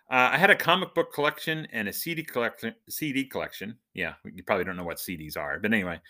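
A man with a fairly low voice that comes across bright and punchy. He hosts a podcast and speaks in a nerdy, jokey way. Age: adult, husband and father. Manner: jokey, self-described nerd. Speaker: podcast host and church minister. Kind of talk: casual humorous podcast monologue.

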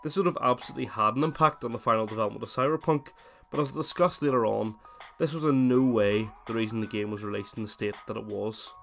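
A man with a cold hosting his gaming podcast, chatting casually and then reading a scripted essay in steady narration. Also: medium pitch, fast, vocal fry, few pauses, soft background music. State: has a cold, voice slightly worn. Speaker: podcast host.

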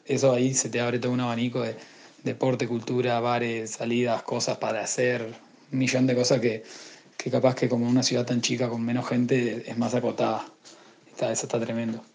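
A man's voice: mid-range voice, monotone, fairly quick, a little mellow, slight background noise. A man from Uruguay's interior with a Uruguayan accent, giving a matter-of-fact account of moving to the capital. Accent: Uruguayan Spanish.

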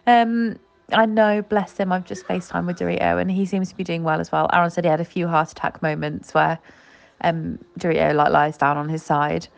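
A woman's voice: fairly low pitch, little pitch movement, a little fast, creaky. A woman recording a rambling, sleepy late-night voice note from bed, full of ums and restarts. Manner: chatty, many ums and restarts. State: sleepy, yawning. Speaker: co-host sending a voice note.

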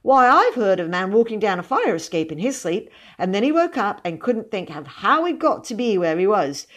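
A woman's voice: medium pitch, big pitch swings, normal speed. A woman voicing a talkative young boy in a storybook, earnest and unruffled, with colloquial grammar. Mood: earnest, rambling. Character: meek-looking, unflappable, talkative young boy.

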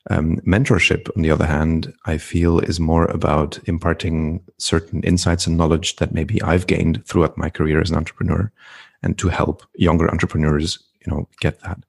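A middle-aged man from Flanders, speaking as a podcast guest in a reflective, unhurried way with frequent ums. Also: deep voice, level tone, vocal fry, slightly rough. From Belgium (Flanders). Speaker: guest, entrepreneur and coach. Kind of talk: podcast interview conversation.

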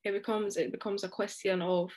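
A woman's voice: mid-range voice, level tone, fairly quick, darkish tone. A woman from Jamaica, speaking as a student guest in an earnest, outspoken way with frequent asides. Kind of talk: candid conversational discussion.

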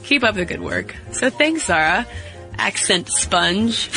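A woman co-hosts a podcast and reads out listener mail, chatty and amused. Her tone is bright and forward, with faint music underneath. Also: medium pitch, very animated, slow, rough recording. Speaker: podcast co-host.

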